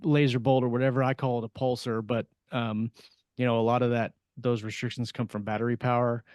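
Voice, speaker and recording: A man talking casually on a panel as an author, halting and repeating words as he thinks aloud. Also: mid-range voice, level tone, a little mellow, clean tone, dry recording, clean studio sound. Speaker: science fiction author on a panel podcast.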